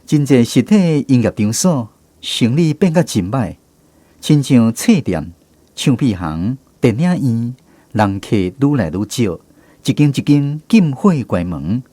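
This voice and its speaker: A man's voice: mid-range voice, sing-song, measured pace, mellow, dry recording. A man with a Taiwanese accent hosting a radio programme with a scripted introduction. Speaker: radio host. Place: radio studio.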